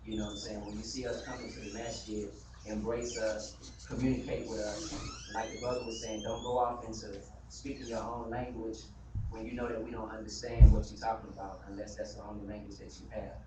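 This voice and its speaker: A man's voice: on the low side, level tone, brisk. Earnest man in an echoey mosque hall. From United States. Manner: earnest, conversational, frequent "you know". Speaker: community member speaking at a mosque gathering. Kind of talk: impassioned open discussion. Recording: echoey hall from far off, noisy live stream.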